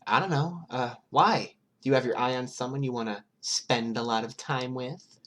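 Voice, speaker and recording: A man voicing a playful, teasing, excitable young villain girl in a chatty way for a story. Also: mid-range voice, moderate pace, voice pushed up, lightly acted. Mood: musing, curious.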